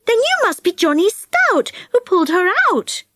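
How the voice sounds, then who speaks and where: A woman's voice: very high-pitched, big pitch swings, moderate pace, a bit bright, no echo, studio quality, pitched above the performer's own voice, heavily acted. A woman voicing earnest, fretful, kind little girls in a children's storybook. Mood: curious.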